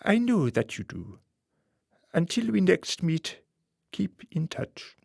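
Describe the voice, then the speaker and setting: A man acting a suave, calculating political fixer in a radio play, speaking formally and deliberately with quiet menace. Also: high-pitched, huge pitch swings, gravelly, husky, dry recording, studio quality, exaggerated voice. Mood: cold, instructing. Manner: formal, measured, deliberate phrasing.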